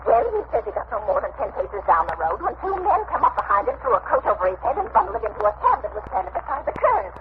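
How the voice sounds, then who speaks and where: A chattery working-class english landlady, indignant, high fast voice, noisy old radio drama. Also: sing-song. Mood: breathless, aggrieved. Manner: voluble, aggrieved, folksy. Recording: noisy, muffled vintage radio recording.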